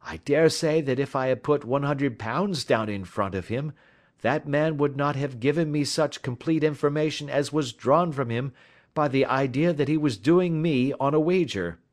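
A man voicing a shrewd, urbane gentleman detective, polite, precise and blandly self-assured, in a storybook reading. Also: medium pitch, normal speed, pitched above the performer's own voice, lightly acted. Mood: amused, confident.

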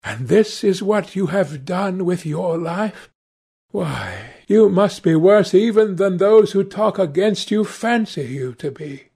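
Guy doing an anguished painter in an audiobook, high husky voice, imploring, horrified, very theatrical. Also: expressive, takes it slowly, darkish tone, heavily acted. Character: anguished male painter, horrified, moralising, devout. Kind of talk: dramatic audiobook character voice.

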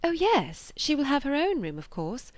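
A woman voicing a composed, cheerful young lady in an audiobook, a dutiful daughter who is polite and gently persuasive. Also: high-pitched, very animated, moderate pace, dry recording, pitched above the performer's own voice. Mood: brisk, reassuring. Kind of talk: audiobook character reading of a classic novel.

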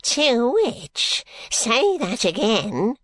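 A reader voicing a suspicious, half-deaf old male moneylender with a shrill, sharp voice and a snarling manner. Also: wildly swooping pitch, extremely slow, dry recording, full character voice. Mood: snappish. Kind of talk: audiobook character reading.

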